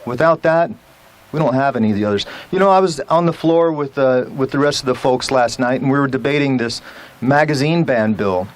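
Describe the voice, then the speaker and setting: A man's voice: medium pitch, brisk. A man giving an emphatic rally speech as a legislator, with rhetorical questions and rousing appeals to the crowd.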